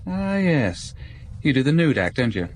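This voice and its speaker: A curt police desk sergeant. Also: male voice, medium pitch, very animated, measured pace, a little hiss or hum. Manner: curt, businesslike questioning. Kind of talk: radio drama acting.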